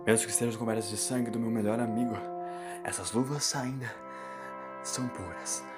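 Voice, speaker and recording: A man voicing an exhausted young male boxer, proud and tender, speaking softly and breathlessly in an audio story. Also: medium pitch, fairly even intonation, fairly quick, loud music behind the voice, natural voice. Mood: tender, solemn. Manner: breathless, soft, solemn.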